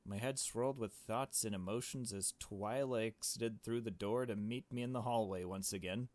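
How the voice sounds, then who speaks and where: A man's voice: on the low side, moderate pace, darkish tone, no room sound. A man reading a visual novel aloud as narration, as a player commenting on the story along the way.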